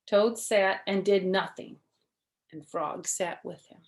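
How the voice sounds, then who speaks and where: Slow-paced woman storyteller for children. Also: mid-range voice. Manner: addresses young listeners with questions and simple explanations. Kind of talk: expressive read-aloud with chatty asides to children.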